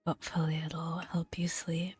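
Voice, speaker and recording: A woman speaking softly in a breathy near-whisper, gently and unhurriedly, in an ASMR style with pauses between phrases as she reads game entries aloud. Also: fairly low pitch, little pitch movement, soft background music. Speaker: gaming video host. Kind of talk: soft-spoken ASMR, reading game entries aloud with casual asides.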